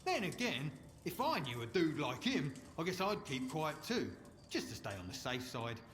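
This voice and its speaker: A man's voice: a little high, very animated, moderate pace, fairly bright tone, in a large hall. An actor playing a chatty, boastful wasteland trader in a video game, with a gossipy salesman's patter.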